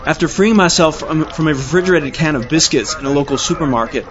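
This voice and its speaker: An actor playing a melodramatic, flustered radio news reporter in a parody of old-time radio drama, with florid announcing. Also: male voice, on the high side, fast, natural voice. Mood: jaunty. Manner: breathless, florid, alarmed announcing. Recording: slightly muffled recording.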